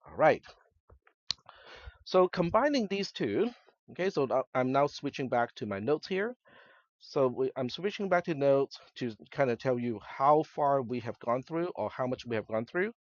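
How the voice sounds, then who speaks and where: A man's voice: mid-range voice, dry recording. Lecturer, male, first language Cantonese, conversational classroom talk, slightly muffled dry recording. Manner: conversational, checks in with students often. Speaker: college instructor.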